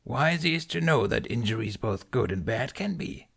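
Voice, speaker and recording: A man voicing an ancient, small green alien sage who hums and speaks in backwards word order, slow and soft. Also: mid-range voice, expressive, creaky, husky, exaggerated voice. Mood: cryptic probing.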